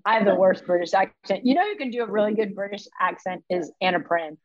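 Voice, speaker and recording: A woman's voice: mid-range voice, quick pace, no room sound, lo-fi sound. A woman co-hosting a wellness podcast from home, chatting casually and laughing often. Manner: giggly and chatty. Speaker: podcast co-host.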